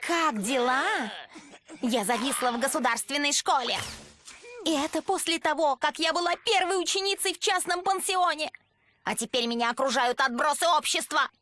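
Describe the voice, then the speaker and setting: A woman's voice: high-pitched, moderate pace, sharp tone. A woman voicing a smug, bratty teenage schoolgirl inventor in a game dub, talking in a sneering, mocking way. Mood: annoyed. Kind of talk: Russian game dub acting.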